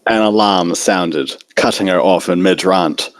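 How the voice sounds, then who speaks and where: Low-voiced man narrating a story. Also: unhurried, no echo, studio quality. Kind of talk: narrated fan fiction reading.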